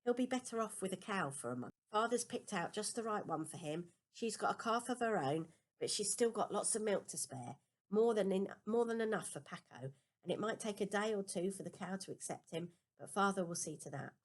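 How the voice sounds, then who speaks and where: A woman's voice: medium pitch, lively intonation, fairly quick, close to an everyday voice. Woman reading as a patient, firm mother. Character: gentle but firm mother explaining to her child. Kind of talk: children's storybook character reading.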